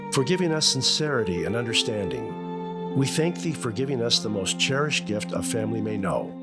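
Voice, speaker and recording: A man's voice: medium pitch, expressive, normal speed, natural voice. An actor playing an earnest, firm middle-aged father in a vintage radio family sitcom. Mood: solemn, grateful. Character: genial, authoritative middle-aged suburban father. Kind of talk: 1950s radio sitcom acting. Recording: vintage radio broadcast with music behind.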